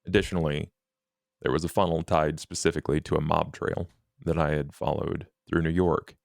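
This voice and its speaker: Deep, gravelly, deliberate man. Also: level tone, darkish tone, no echo, studio quality. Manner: formal, deliberate, businesslike. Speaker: player in a tabletop role-playing game. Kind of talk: in-character improvised dialogue.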